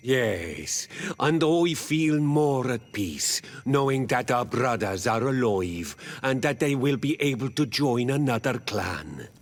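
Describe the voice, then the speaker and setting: A man playing a calm, wry old native clan elder in a video game, speaking deliberately. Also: medium pitch. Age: older. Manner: slow, deliberate. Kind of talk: video game dialogue acting.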